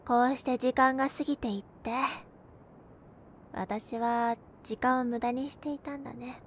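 Woman acting a high-voiced, tender young girlfriend. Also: fairly even intonation, unhurried, a little hiss or hum. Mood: wistful. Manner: soft, tender, intimate, playful at times. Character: gentle, cheerful young girlfriend comforting her overworked boyfriend. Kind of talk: Japanese binaural situation-voice roleplay, spoken to the listener.

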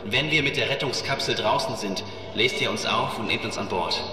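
A man's voice: mid-range voice, normal speed, over music, natural voice. Man acting resolute starship captain in echoing halls. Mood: calm, focused. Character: resolute, protective male spaceship captain in danger. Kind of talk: sci-fi horror audio drama acting. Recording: echoey reverberant sound, distant from mic.

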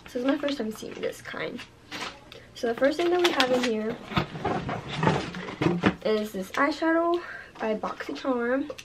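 A young woman in her twenties, a bit hoarse from losing her voice, chatting casually as she unboxes and reviews makeup at home, filling her talk with "like" and "you guys". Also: high voice, expressive, a little slow, pauses often, very noisy. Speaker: beauty vlogger. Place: bedroom with makeup shelves, indoors.

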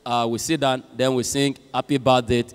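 A man's voice: medium pitch, level tone. Young Nigerian man making church announcements. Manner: hesitant, many filler pauses. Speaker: master of ceremonies. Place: large university chapel hall. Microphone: handheld mic at a lectern. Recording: long hall reverb with faint music.

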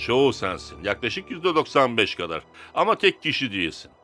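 A man's voice: medium pitch, big pitch swings, brisk, pushed and bright, faint music behind. A man playing a frank, teasing football teammate in a cartoon dub. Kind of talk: cartoon dubbing.

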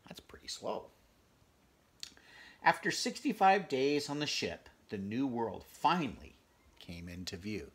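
A man in his fifties with a gravelly voice reads a picture book aloud, adding chatty, conversational asides for young listeners. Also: mid-range voice, big pitch swings, measured pace, pauses often. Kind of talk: children's read-aloud with casual asides. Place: small furnished bedroom, indoors.

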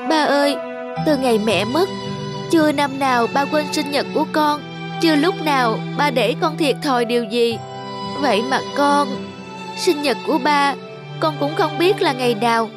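A young woman playing a devoted, sentimental adult daughter in a cartoon drama, speaking in an expressive, earnest way. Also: high-pitched, normal speed, over music, natural voice. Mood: remorseful. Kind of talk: animated cartoon drama acting.

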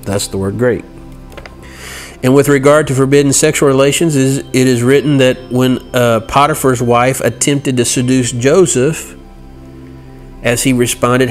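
A middle-aged man teaching from scripture in an earnest, halting way, reading passages and explaining them. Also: mid-range voice, soft background music. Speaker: Bible teacher. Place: indoor room by a large window. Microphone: lapel mic.

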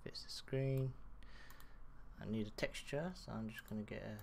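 A man in his thirties giving a casual live software tutorial from his desk, speaking through a headset and thinking aloud as he works. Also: lowish voice, gravelly, slight background noise. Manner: slow, halting, muttering while working. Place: small room at a computer desk.

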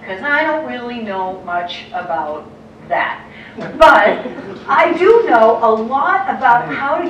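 Lively American woman lecturing in an echoey hall. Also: a little high. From United States. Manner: lively, quick asides, acts out quoted figures. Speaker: art historian lecturer. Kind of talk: illustrated lecture to clergy. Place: large dim auditorium with projector screen. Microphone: distant room mic, speaker at lectern far from camera. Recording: echoey hall from far off, faint hiss.

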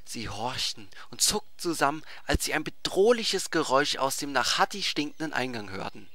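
Guy reading a fantasy story, very lively, sharp voice, theatrical. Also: mid-range voice, moderate pace, no echo. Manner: theatrical, emphatic. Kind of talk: dramatic scripted fantasy narration.

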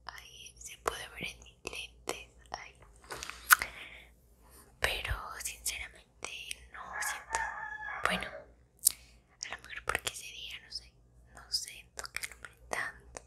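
A woman whispers softly and intimately, close to the mic, chatting casually in an ASMR style. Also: a bass voice, wildly swooping pitch, takes it slowly, pauses often. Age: 20s. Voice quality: breathy unvoiced whisper. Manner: soft, intimate, close to the listener. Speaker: ASMR video creator. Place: small room indoors.

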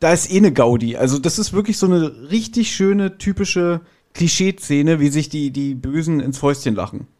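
Higher-pitched enthusiastic man chatting. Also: lively intonation, normal speed, few pauses, darkish tone. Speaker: podcast guest. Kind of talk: casual fan chat.

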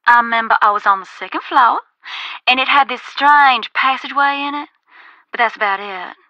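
An actress playing a folksy, cautious former ghost hunter in a video game, with a Southern US accent and a drawling, cautionary manner. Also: female voice, fairly high pitch, moderate pace, sharp tone, no room sound, lo-fi sound. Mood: casual, recalling. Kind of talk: video game dialogue, conversational phone-call acting.

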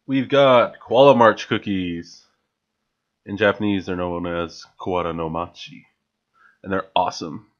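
A man's voice: low-pitched, fairly even intonation, unhurried, highs cut off. A young man chatting casually and enthusiastically in a home vlog, stumbling over his words now and then as he shows off his grocery haul. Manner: chatty, enthusiastic, with frequent filler restarts. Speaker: vlogger. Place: small home room, indoors. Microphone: studio mic on a stand, wearing headphones.

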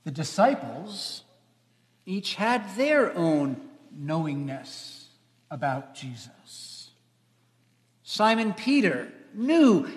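A man in his fifties, a pastor preaching a dramatic storytelling sermon with emphatic phrasing. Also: high voice. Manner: emphatic, swelling and trailing phrases. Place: large church sanctuary, seated at front. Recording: echoey church hall, long reverb.

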